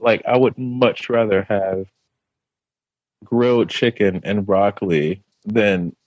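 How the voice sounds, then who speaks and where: A man talking casually as a podcast guest, playful and relaxed. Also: slow pace, no echo, muffled recording. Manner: playful, jumps into a high hype voice at times. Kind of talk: casual conversation.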